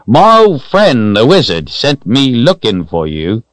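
A man's voice: mid-range voice, huge pitch swings, measured pace, fairly bright tone, no echo, pitched above the performer's own voice. A man voicing a jolly, teasing old man in an audiobook, with a hearty, folksy manner. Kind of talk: audiobook character voice.

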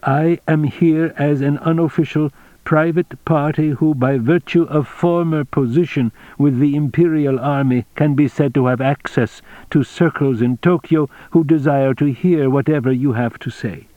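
A man voicing an elderly Japanese general in an audiobook, fatherly and shrewd, with formal, measured phrasing. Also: fairly high pitch, fairly even intonation, dry recording, muffled recording, pitched above the performer's own voice, close to an everyday voice. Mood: formal, diplomatic.

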